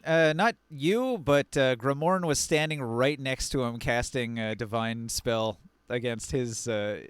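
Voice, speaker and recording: A man's voice: mid-range voice, measured pace, clear, resonant tone, no room sound. A man narrating as game master in a live tabletop game, playing the characters in his own voice. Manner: plays the NPCs in his own voice, narration with short quoted lines.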